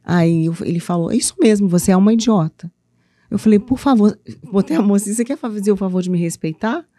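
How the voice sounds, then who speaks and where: A woman's voice: medium pitch, fast talker. A middle-aged woman from Minas Gerais with a mineiro accent, telling her story as an interview guest and acting out what people said. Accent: Minas Gerais Portuguese. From Minas Gerais, Brazil. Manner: animated, acts out quotes, playful teasing. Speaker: guest, author and mentor for women.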